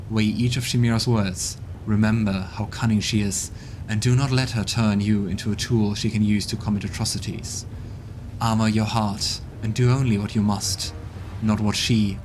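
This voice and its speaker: A man's voice: on the low side, a little mellow, a little hiss or hum, close to an everyday voice. A man reading as a grave male adviser. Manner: solemn, warning. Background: game music.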